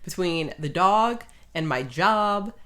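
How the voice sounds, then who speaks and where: A woman's voice: lowish voice, animated, takes it slowly, a bit bright. A woman co-hosting a casual podcast, speaking in a blunt, informal way. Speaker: podcast co-host. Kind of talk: casual conversational podcast chat.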